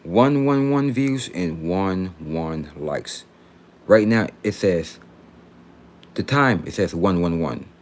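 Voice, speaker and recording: Very deep-voiced young man rambling casually. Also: lively intonation, slow. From Texas, United States. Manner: rambling, repetitive, slangy. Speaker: livestreamer. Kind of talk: casual livestream chat.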